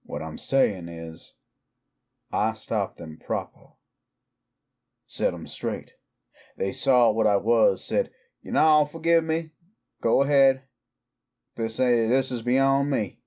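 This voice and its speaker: Guy acting a cowboy outlaw werewolf, real slow talker, brooding and goading. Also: medium pitch, a little mellow, close to an everyday voice. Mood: cocky, mocking. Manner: slow, deliberate, goading, rhetorical questions. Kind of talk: intimate roleplay audio drama acting.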